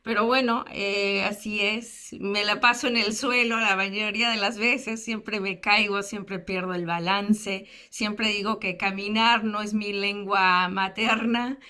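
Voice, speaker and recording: A woman in her thirties speaks as an invited guest and recounts her childhood. Her talk is candid and full of fillers, with flashes of self-deprecating humor. Her voice sits at an ordinary mid pitch. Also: fairly even intonation, unhurried, hardly any pauses, sharp tone. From United States. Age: 30s. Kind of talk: personal testimony in a lecture.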